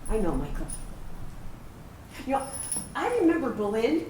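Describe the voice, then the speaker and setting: Woman playing a wistful veteran, high and animated. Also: moderate pace. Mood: gently reassuring, then fond reminiscence. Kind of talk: staged reading of a personal narrative play. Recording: roomy, distant microphone, faint background noise.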